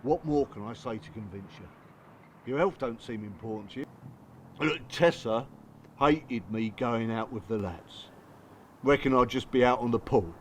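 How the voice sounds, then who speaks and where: A man's voice: mid-range voice, moderate pace, slight background noise, natural voice. An actor playing a bitter, working-class English father in a radio drama, confessing his hurt in blunt, colloquial, strained speech. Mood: weary, hurt. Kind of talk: radio drama dialogue and monologue.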